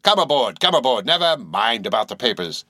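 A man voicing a gruff, hearty old sea captain who talks loudly and stammers a little. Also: medium pitch, very animated, fairly quick, dry recording, studio quality, pitched above the performer's own voice, full character voice. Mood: jovial.

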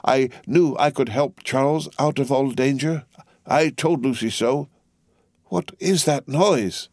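Man playing a proud old doctor, raised pitch. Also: takes it slowly, darkish tone, no room sound, studio quality. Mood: cool, confident. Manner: cool, bold, confident. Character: proud, self-assured old doctor, former prisoner.

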